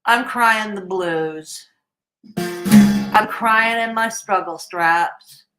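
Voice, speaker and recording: A woman voicing a whiny, broke man who sings a slow, drawn-out begging blues in a mocking sing-song. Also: mid-range voice, over loud music, rough recording.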